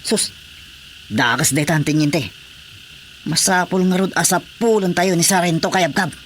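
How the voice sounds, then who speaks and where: A man's voice: on the high side, normal speed, a bit bright, a little hiss or hum, lightly acted. A man playing an earnest army corporal on a search, in a radio drama. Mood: worried.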